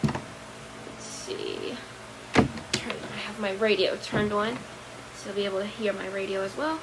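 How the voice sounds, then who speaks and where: A woman's voice: medium pitch, steady tone, unhurried, very noisy. A woman hosting a casual podcast, introducing a video in a loose, rambling way with frequent ums. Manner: rambling with many ums, easygoing. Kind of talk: casual chat.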